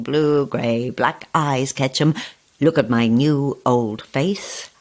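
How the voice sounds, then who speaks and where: A woman's voice: very deep voice, heavily acted. An actress playing a sinister, taunting old witch in a horror audio drama, with a menacing, sing-song way of drawing out words. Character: sinister, taunting old witch who lures and cages her guests.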